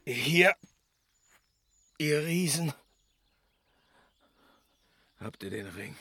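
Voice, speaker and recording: Ein Schauspieler spielt in einem Fantasy-Hörspiel einen grüblerischen, gebieterischen Götterkönig mit gewichtiger, theatralischer Art. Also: male voice, fairly high pitch, animated, extremely slow, sharp tone, slight background noise, exaggerated voice. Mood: commanding. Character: brooding, commanding king of the gods. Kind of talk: fantasy radio play acting. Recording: music behind the voice.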